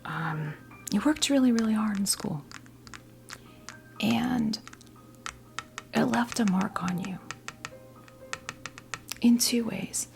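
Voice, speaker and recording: An American woman in her fifties giving a tarot reading as ASMR role play, whispering softly in a halting, gently reassuring way. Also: mid-range voice. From New Jersey, US. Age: 50s. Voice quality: whispered, breathy soft voice. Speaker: ASMR performer playing a tarot reader.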